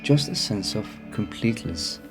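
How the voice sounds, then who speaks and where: A man narrating a guided meditation in a calm, soothing manner with unhurried phrasing. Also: lowish voice. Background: music behind the voice.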